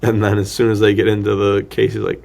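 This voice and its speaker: Gravelly, low-voiced man chatting casually. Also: completely flat delivery, quick pace, darkish tone. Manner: rambling, thinking aloud, slangy. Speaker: podcast co-host. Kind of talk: casual film discussion.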